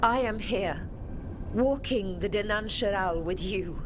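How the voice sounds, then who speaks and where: Woman playing a tender, slow-spoken elven woman. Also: natural voice. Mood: tender pleading. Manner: tender, deliberate, imploring. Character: gentle, forgiving elven woman pleading with her beloved. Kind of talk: dramatic video game cutscene acting. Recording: loud ambient rumble behind voice.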